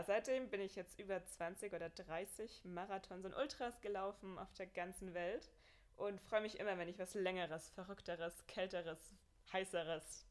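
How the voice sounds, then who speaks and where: A young woman with a Bavarian accent speaks as a guest on a casual podcast. Her voice is mid-pitched, and she tells her story in a lively, easygoing way. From Bavaria, Germany. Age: young adult. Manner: lively, laughs often, storytelling. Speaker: guest, marathon runner.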